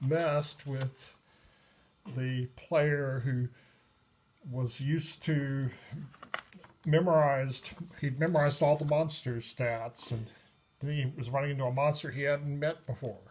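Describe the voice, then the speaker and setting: Slow, halting man over background noise. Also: medium pitch, steady tone, a little mellow. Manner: halting, pausing often mid-sentence. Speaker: tabletop game book reviewer. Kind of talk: casual unscripted book review. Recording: noisy recording with clicks.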